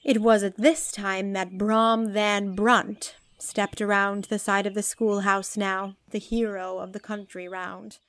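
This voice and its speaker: A woman playing the formal, measured storybook narrator of an audio drama about an old village tale. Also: medium pitch, level tone, a bit bright, dry recording, clean studio sound. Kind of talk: scripted literary narration.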